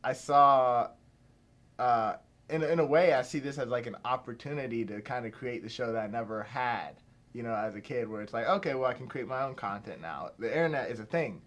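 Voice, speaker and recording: A man's voice: medium pitch, fairly quick, fairly bright tone. Rambling young man from Pittsburgh. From Pittsburgh, USA. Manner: rambling, many fillers and restarts. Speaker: filmmaker guest. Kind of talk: casual interview chat.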